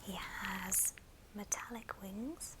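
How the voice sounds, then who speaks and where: A woman whispering softly and breathily in a gentle, affectionate ASMR show and tell. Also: mid-range voice, slow, noisy background. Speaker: ASMR video host.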